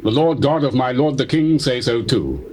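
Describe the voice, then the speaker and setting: An actor as a loyal, fervent royal officer speaking biblical English in a scripture drama. His voice is of middle pitch, with faint tape noise. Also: expressive, moderate pace, darkish tone, natural voice. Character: loyal, fervent servant of a king.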